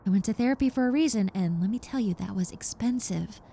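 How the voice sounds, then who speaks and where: A woman's voice: medium pitch, fast, gravelly, warm tone, a little hiss or hum, natural voice. A woman playing a nervous, apologetic young reformed yandere in a roleplay audio drama, speaking in a hesitant, stammering, earnest and reassuring way. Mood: hopeful, grateful. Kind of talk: roleplay audio drama, conversational monologue to the listener.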